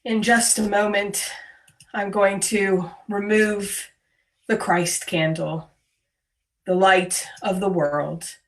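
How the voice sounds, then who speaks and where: Very slow, solemn woman worship leader. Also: mid-range voice, fairly even intonation, distant mic. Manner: grave, deliberate, with short weighted phrases. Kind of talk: solemn scripted prayer and reflection. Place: online video call.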